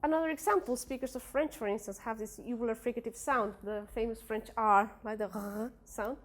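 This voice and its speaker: A young woman whose first language is Spanish presents her research at an academic workshop. Her voice sits a little high, and she speaks English with a Spanish accent. She hesitates often, filling gaps with "uh" and "like" and restarting her sentences. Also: fairly quick. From Spanish-speaking country. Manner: hesitant, many fillers and restarts.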